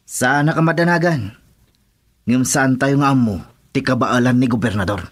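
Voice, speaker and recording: A man playing a cautious, calculating henchman who warns his partner in a radio drama, with a husky voice. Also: mid-range voice, normal speed, close to an everyday voice. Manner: calm, warning.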